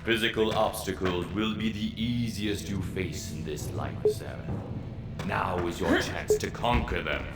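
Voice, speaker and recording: A man playing an older, watchful wizard mentor in a fantasy game, giving measured, authoritative instructions. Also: low-pitched, creaky, roomy sound, very noisy. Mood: calm, mentoring. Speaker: mentor guiding the player. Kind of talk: scripted fantasy game dialogue. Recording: loud game music over the voice.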